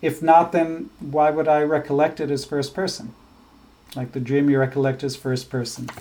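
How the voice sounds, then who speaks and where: A man teaching a scripture class, explaining ideas in a thoughtful, halting way with frequent restarts. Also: fairly high pitch, flat delivery, mellow, slight background noise. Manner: chants verses slowly. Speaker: spiritual teacher.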